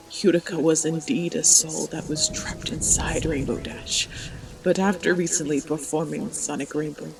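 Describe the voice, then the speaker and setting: A woman voicing an elderly, gentle grandmother pony turned tortoise, reflective, in an audio drama. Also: deep voice, vocal fry, low-quality recording.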